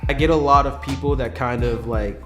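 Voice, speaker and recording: A young ballplayer from Baltimore talks eagerly in a loose, casual way, with lots of "like" in his speech. A clear music bed plays beneath his voice. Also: mid-range voice, brisk. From Baltimore, United States. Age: young adult. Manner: enthusiastic, casual, says "like" often. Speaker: baseball player. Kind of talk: feature interview. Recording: interview with music underneath.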